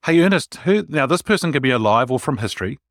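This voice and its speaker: A man's voice: medium pitch, big pitch swings, fast, no echo, clean studio sound. A man with an Australian accent hosting a leadership podcast, upbeat, often restating his guest's points. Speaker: podcast host. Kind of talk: interview host chat.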